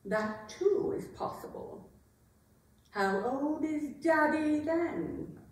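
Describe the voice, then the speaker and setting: A woman playing a bitter, taunting aging queen in a theatrical staged reading, with biting, deliberate delivery. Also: sits high, animated. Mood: taunting, menacing. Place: arts center stage, recorded from afar. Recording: very echoey hall, distant mic.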